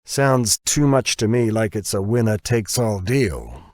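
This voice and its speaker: A man's voice: fairly low pitch, big pitch swings, unhurried, a little mellow, dry recording, studio quality, voice pushed up. Man acting a blunt, confrontational company boss. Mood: dismissive. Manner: confrontational, slangy, swearing. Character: blunt, greedy American male biotech company boss. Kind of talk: audiobook character dialogue.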